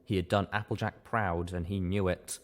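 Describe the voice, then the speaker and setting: A man's voice: low-pitched, little pitch movement, moderate pace. A man reading a first-person story as scripted audiobook narration. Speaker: audiobook reader.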